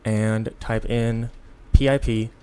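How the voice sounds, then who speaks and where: A tired man giving a casual, unscripted software tutorial in a rambling, self-deprecating way. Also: fairly low pitch, level tone, slow, smooth. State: tired, sleepy. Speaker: tutorial host.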